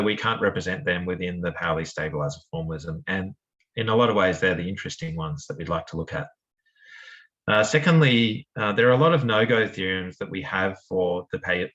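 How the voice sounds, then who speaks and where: A man's voice: deep voice, a bit bright, highs cut off. A man with a strong Australian accent giving a friendly academic seminar talk, with frequent fillers and restarts. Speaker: lecturer.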